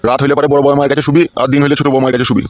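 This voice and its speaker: A man's voice: mid-range voice, little pitch movement, very fast, no room sound, highs cut off, faint music behind, close to an everyday voice. A man voicing a bossy, bad-tempered, swearing father of the family in a comedy cartoon. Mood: firm.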